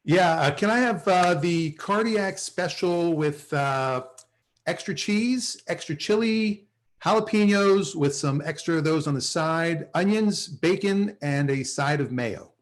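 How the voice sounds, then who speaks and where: A man's voice: a little high, expressive, unhurried. An actor playing a self-important, sarcastic male salesman in a sitcom table read, recorded on a video call. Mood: hapless, wry. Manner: sarcastic, wheedling, hesitant.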